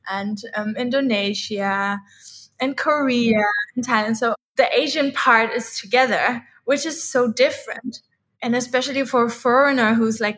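A woman's voice: a little high, a bit bright. A woman in her thirties whose first language is Dutch, chatting as an interview guest in a rambling way, full of "like" fillers. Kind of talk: casual interview chat.

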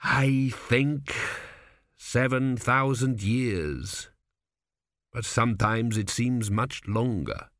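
Guy doing really old high priest for an audiobook, measured, solemn, calm boss energy, dry sound. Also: dry recording. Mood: wistful. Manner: slow, measured, grave. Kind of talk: audiobook character voice.

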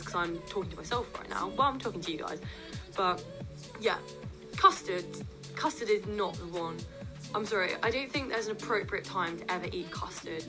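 Young woman, student radio host, slightly croaky, chatty and opinionated, soft music under her. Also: mid-range voice. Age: young adult. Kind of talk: casual radio chat.

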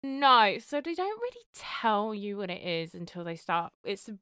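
A woman co-hosts a casual podcast, and her voice is bright and sharp, with a lively pitch that swings up and down. She laughs all the time, and her sound is dry and close to the mic. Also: unhurried, clean tone, no room sound. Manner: laughs constantly, animated. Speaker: podcast co-host. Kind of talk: casual chat.

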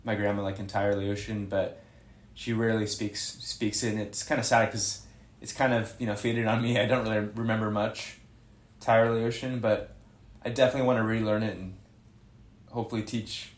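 A man's voice: on the low side, flat delivery, fairly quick. A young man who is a guest on a podcast, chatting casually in a rambling way and correcting himself. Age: young adult. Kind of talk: casual interview chat. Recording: echoey room.

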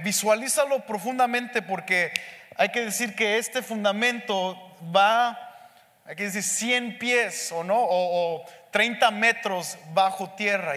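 Hombre de voz aguda, predicando en una sala con eco. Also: male voice, high-pitched, fairly bright tone. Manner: speaks slowly and deliberately, mixing in English words. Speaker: pastor teaching a Bible study. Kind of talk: sermon teaching. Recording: echoey hall with long reverb.

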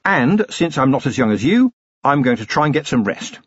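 A man voicing a weary, sardonic older police commander with a dry, gruff, commanding manner in an audiobook story. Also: mid-range voice, very animated, moderate pace, dry recording, lo-fi sound, voice pushed up. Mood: dry, stern. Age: middle-aged.

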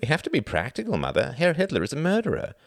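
A man playing a smug, resentful grown son in an audiobook, speaking in a dry, deliberate, self-important way. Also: mid-range voice, wildly swooping pitch, gravelly, no echo, voice pushed up. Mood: smug, urgent.

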